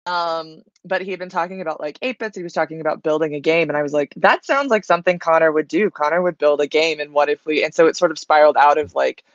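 A woman's voice: deep voice, fast, few pauses, no echo. A woman speaking casually as a writer on a panel, thinking aloud in a conversational way. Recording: dull lo-fi audio.

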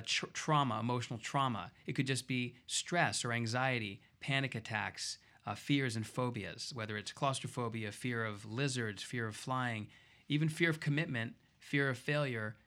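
A man's voice: medium pitch, a bit bright. Man hosting a radio talk show.

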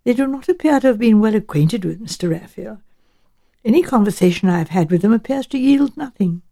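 A woman's voice: fairly high pitch, expressive, brisk, dark tone. A woman voicing a shrewd, genteel elderly English village spinster, musing and politely chatty, in an audiobook. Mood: musing, measured.

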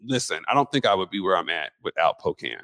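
A man hosting a casual chat show, lively and animated in his talk. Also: deep voice, fast talker, no room sound. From Pacific Northwest. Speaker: host.

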